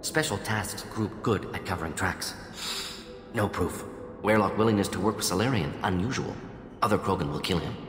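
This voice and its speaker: Man acting a low-voiced, clipped alien scientist. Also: normal speed, natural voice. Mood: curt, dismissive. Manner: clipped telegraphic phrases, dropping small words. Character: aging male alien scientist professor, clipped, brisk, burdened by conscience. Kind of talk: video game cutscene acting. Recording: echoey hall reverb under background music.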